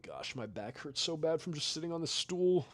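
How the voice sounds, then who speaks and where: A man's voice: on the high side, expressive, moderate pace, dry recording. Casual young man with a tiring voice. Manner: rambling, trails off, mumbles and quiet asides between bursts. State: voice getting tired. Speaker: streamer reading chat. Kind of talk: casual chat while playing a video game.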